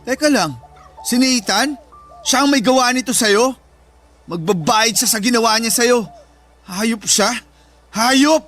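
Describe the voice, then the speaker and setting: A man's voice: extremely high pitch, measured pace, pauses often, a bit bright, faint music behind. A man acting an anguished, protective older brother in a Tagalog radio drama, emotional and pleading. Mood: outraged, pleading.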